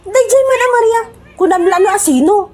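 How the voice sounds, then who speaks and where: A woman's voice: extremely high pitch, expressive, brisk, noisy background. An actress with an Ilocano accent playing a brash, scornful woman in a comedy radio drama, snapping melodramatically. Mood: huffy. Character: brash, scornful woman quitting her job for politics.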